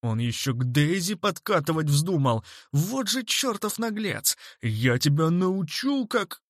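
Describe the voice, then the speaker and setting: A man's voice: a little high, sing-song, unhurried, no room sound, studio quality, voice pushed up. A man voicing a hot-headed, pugnacious young man in a storybook, growling and roaring threats. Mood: threatening.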